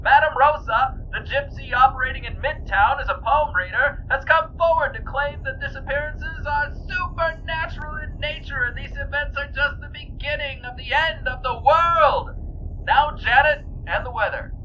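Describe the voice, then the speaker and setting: An excitable female news anchor, acted by a woman, reads urgent bulletins in a high voice. It is a dramatic audio-drama newscast with clear noise behind it. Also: moderate pace, rough recording.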